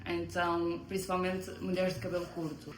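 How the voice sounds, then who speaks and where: A woman's voice: on the low side, little pitch movement, normal speed, roomy sound, slight background noise, rough recording. A woman from Portugal with a European Portuguese accent, answering interview questions hesitantly, with frequent fillers.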